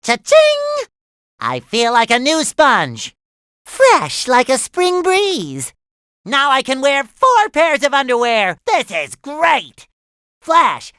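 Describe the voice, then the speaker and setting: A man voicing an excitable, naive, cheerful young cartoon sea sponge in a video game, with a squeaky nasal voice and eager, childlike earnestness. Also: clean tone, no echo, clean studio sound, exaggerated voice. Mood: gleeful. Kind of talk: animated video game voice lines.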